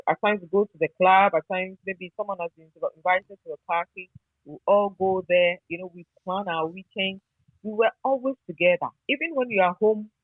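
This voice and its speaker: A woman's voice: lowish voice, dry recording. Over a narrow, phone-like line with little room sound, a Ghanaian woman shares memories in a conversational way. She is nostalgic and often repeats a phrase to underline it. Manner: nostalgic, emphatic repetition. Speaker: interviewee, former radio newsreader. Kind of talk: conversational, reminiscing interview.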